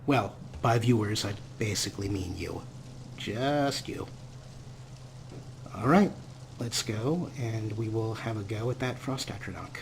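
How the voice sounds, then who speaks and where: A man's voice: lowish voice, measured pace. A man hosting a let's play with casual, playful game commentary, wordy and wry, with a theatrical streak. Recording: clear background noise, game ambience.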